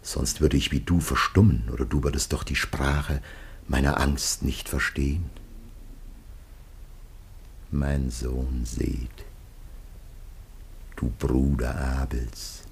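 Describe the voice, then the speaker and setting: An actor playing a grave, aged biblical patriarch facing death, declaiming solemnly and haltingly in a classic radio drama. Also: male voice, very deep voice, fairly even intonation, creaky, breathy edge, full character voice. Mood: anguished, solemn.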